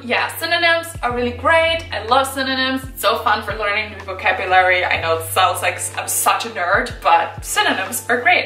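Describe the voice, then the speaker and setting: A woman's voice: fairly high pitch, bright, forceful tone. Chatty young woman in an echoey room. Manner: chatty, upbeat, lots of "like" and "so normal". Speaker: vlogger. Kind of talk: casual chat to camera. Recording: echoey room, slightly far from mic, light music.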